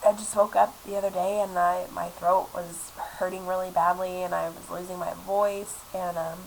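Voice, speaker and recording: Young woman vlogger, sick and stuffed up, gravelly voice, chatty, rambling, filler-heavy, recorded on a laptop webcam mic with faint hiss. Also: lowish voice, little pitch movement, normal speed, low-quality recording. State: sick, congested with a cough. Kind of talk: casual chat to camera. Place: small apartment room indoors.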